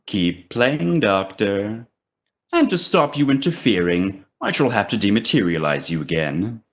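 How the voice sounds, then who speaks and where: Gloating audio-drama villain , male actor: low register, deliberate, highly inflected, commanding and smug. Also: big pitch swings. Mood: commanding, menacing.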